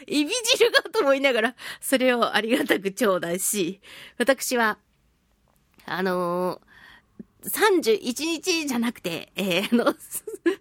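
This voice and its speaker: A woman's voice: sits high, a bit bright, dry recording, studio quality. A woman hosting a solo talk show, chatting casually and telling stories in a playful, giggly manner with sound-effect words. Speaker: radio show host, voice actress.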